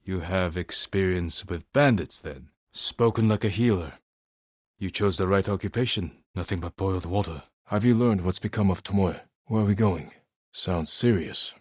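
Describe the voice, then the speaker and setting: An actor playing a stern, honorable young samurai in a video game, speaking low and husky in a restrained, measured, terse way. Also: male voice, dry recording, clean studio sound. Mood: grave, resolute. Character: stern, honorable young samurai warrior, weary but resolute. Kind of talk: dramatic video game acting.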